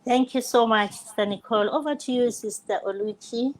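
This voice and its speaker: A woman's voice: a little high, brisk, slight background noise. An older woman, a grandmother, hosting a live online session and greeting viewers and panelists in a welcoming way. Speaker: host.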